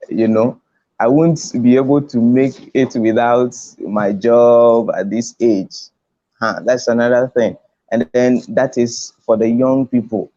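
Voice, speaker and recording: A man's voice: mid-range voice, fairly even intonation, slow, mellow. A man with a Ghanaian accent giving an emphatic motivational talk on an online conference call, repeating phrases for weight. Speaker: conference speaker. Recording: dull lo-fi online call audio.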